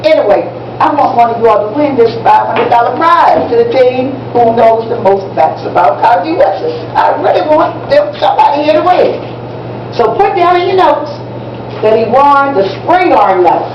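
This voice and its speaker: A woman's voice: high-pitched, animated, moderate pace. Woman acting enthusiastic American schoolteacher, echoey and distant. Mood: instructive. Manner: didactic, repeats and spells out key words. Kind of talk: amateur stage play acting. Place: indoor room at a public library. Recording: distant, echoey, muffled, noisy room recording.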